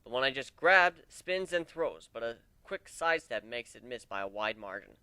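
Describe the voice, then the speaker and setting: A man's voice: mid-range voice, big pitch swings, moderate pace, bright, forceful tone, smooth, no echo. A man giving an amateur dramatic reading of a first-person fantasy adventure story.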